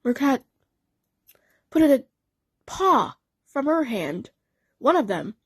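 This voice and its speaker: A woman's voice: high-pitched, very animated, slow, slightly rough. A woman narrating a story dramatically in the first person, as in an audio play. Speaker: narrator and voice actor.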